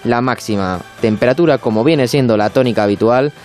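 A man presents the radio weather, briskly reading out lists of temperatures and trading light remarks with the host. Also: mid-range voice, darkish tone, faint music behind. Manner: brisk, reads lists of figures. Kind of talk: radio weather report with banter.

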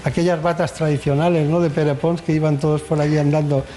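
A man's voice: a little high, flat delivery, a little fast, mellow, rough recording. A man hosting a health radio show, introducing topics and interviewing in a conversational way, often repeating his phrases.

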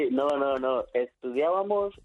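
A man's voice: medium pitch, animated, slow pace. A man guest on a radio talk show, bashfully telling his own story with laughs. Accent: Spanish (Spain). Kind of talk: casual radio chat. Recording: phone-like line with faint music.

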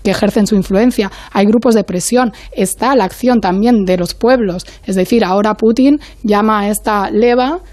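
A woman speaking as an academic guest on a panel, in a measured, analytical way, often searching for her next word. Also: mid-range voice, warm tone, no echo.

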